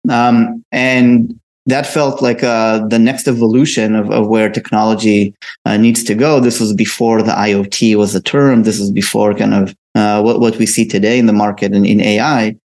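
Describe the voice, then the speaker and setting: A middle-aged man answering interview questions as a tech founder, conversationally, often pausing on ums and uhs. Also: on the low side, flat delivery, a little mellow. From Israel. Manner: hesitant pauses with frequent ums and uhs. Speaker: interview guest, tech company co-founder and CEO.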